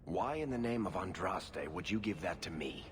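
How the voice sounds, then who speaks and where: A man's voice: deep voice, lively intonation, normal speed. An actor playing a wry, irreverent male companion in a fantasy game, with a dry, sardonic way of talking. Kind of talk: dramatic game dialogue.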